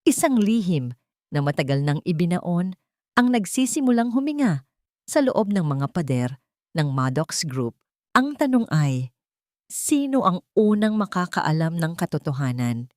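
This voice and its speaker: A woman's voice: on the low side, very animated, measured pace, a little mellow, no echo, clean studio sound. A woman narrating a scripted audio drama story in a dramatic storytelling style.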